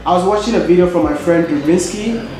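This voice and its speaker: A man's voice: high-pitched, quick pace, warm tone. A young man with a Ghanaian accent, interviewing casually as the host of a video channel. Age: young adult. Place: indoor office lounge with glass walls. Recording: very echoey room, clear background noise.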